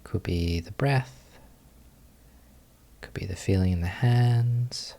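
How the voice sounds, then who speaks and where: A man leading a guided meditation as a teacher, giving gentle instructions with long pauses. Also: low-pitched, gravelly.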